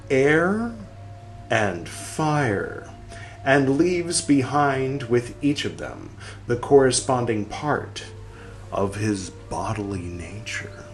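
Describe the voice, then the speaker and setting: A man hosting a podcast, reading a text aloud and speaking its punctuation, with quiet wry asides between passages. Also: fairly low pitch. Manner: reads slowly, speaking the punctuation aloud and trailing into whispered asides.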